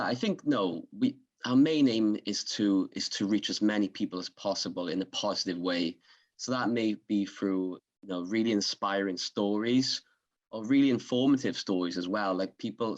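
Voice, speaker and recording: Upbeat host from Swansea, Welsh accent, lowish voice, dull lo-fi sound. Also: male voice. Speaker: podcast host. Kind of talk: conversational interview.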